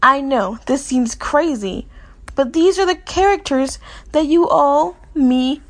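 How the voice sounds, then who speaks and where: A shrill, indignant woman scientist, voiced by a woman narrating a story, pitched high and drawn out slowly. Every word comes with heavy emphasis. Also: animated, heavily acted.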